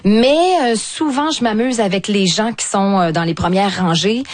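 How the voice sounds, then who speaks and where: A woman's voice: medium pitch, moderate pace, no room sound. A woman with a strong Québécois accent, a stage performer talking as a guest in a casual interview, often restarting and stumbling through fillers. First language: French. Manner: hesitant, many restarts and fillers, animated.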